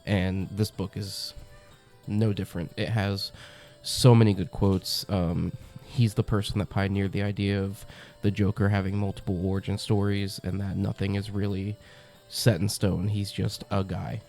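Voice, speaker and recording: A man's voice: low-pitched, flat delivery, moderate pace, a little mellow, faint music behind. A young man hosting a comic review channel, congested from a cold, talking in a chatty, enthusiastic way with lots of ums and uhs. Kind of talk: casual review monologue.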